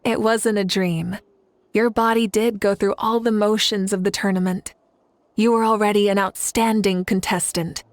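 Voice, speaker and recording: A woman's voice: medium pitch, fairly even intonation, moderate pace, no echo, lightly acted. A woman playing a confident, benevolent warrior leader in an anime game, composed and encouraging. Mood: reassuring.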